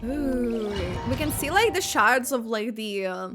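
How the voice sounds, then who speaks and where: A woman streamer reacting live to a game and reading its text aloud in an expressive, exclamatory way. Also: fairly high pitch, measured pace. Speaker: gaming streamer. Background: game music.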